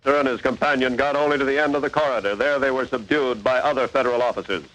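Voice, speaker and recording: A man playing a stern, authoritative narrator of a 1940s radio crime drama, with emphatic, deliberate phrasing. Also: medium pitch, fairly even intonation, fairly bright tone, a little hiss or hum, natural voice, low-quality recording. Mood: grave. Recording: vintage 1940s radio broadcast.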